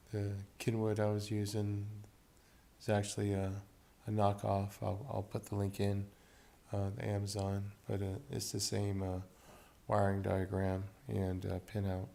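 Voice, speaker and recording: A man giving an unscripted technical demonstration, explaining in a halting, hesitant, improvised way. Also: on the low side, monotone, creaky, warm tone. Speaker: electronics hobbyist demonstrating equipment. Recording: roomy echo, dull muffled sound.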